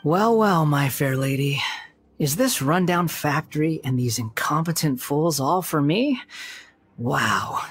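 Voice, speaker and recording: A man's voice: fairly high pitch, lively intonation, takes it slowly, slight background noise. A man playing a scornful, volatile young puppet wanderer in an anime game, with clipped, theatrical delivery. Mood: mocking. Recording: game music underneath.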